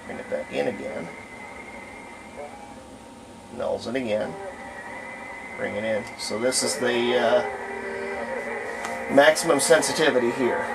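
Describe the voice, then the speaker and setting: Enthusiastic man over loud radio noise. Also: mid-range voice, slow, vocal fry, long pauses. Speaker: vintage radio hobbyist demonstrating a set. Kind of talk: casual demonstration chat. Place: small indoor room of vintage radios. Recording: loud radio static and hum. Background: old radio playing with static.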